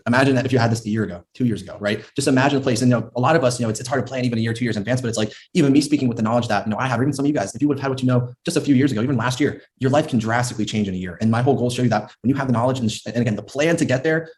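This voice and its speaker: A man's voice: mid-range voice, rapid-fire, hardly any pauses, darkish tone. A man hosting a trading course on a video call, encouraging and repeating himself as he talks. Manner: encouraging, repeats words, says bro. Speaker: course host. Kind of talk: casual motivational talk on a video call.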